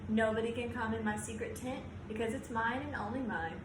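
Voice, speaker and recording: An imaginative little storybook boy, acted out by a woman reader, boastful yet easily spooked. He exclaims in childlike phrases at a slightly high pitch. The sound is echoey and noisy. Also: fairly quick, lightly acted. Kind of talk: storybook read-aloud. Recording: roomy echo, clear background noise.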